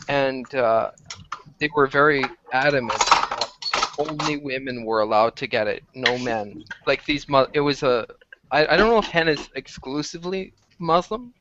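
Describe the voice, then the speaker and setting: Hesitant young man on a muffled call. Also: medium pitch. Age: 20s. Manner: hesitant, halting. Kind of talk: casual chat. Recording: muffled online call, faint noise.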